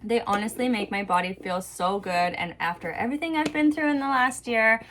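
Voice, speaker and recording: A woman's voice: a little high, brisk, a bit bright, a little hiss or hum. A woman chatting informally as a mom vlogger, thinking aloud in casual home-video style. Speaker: vlog host and mother of young kids. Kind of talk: casual home vlog chat, talking to viewers and kids. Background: music.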